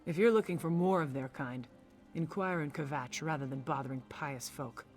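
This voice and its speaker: A woman's voice: deep voice, moderate pace, a little mellow, faint music behind, close to an everyday voice. A woman playing a very old, pious worshipper, indignant and disapproving, in video game dialogue.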